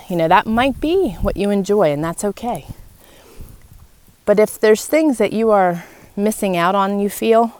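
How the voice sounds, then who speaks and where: A woman hosting a motivational, faith-led podcast monologue, speaking earnestly and encouragingly, with emphatic repeated phrases. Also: lowish voice. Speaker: podcast host. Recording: clearly noisy background.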